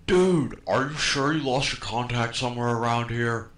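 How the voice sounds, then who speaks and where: A man's voice: medium pitch, normal speed, lightly acted. A man voicing clueless, melodramatic characters in an amateur anime parody dub. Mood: baffled, casual. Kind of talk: comedic cartoon parody dubbing. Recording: noisy amateur voice recording.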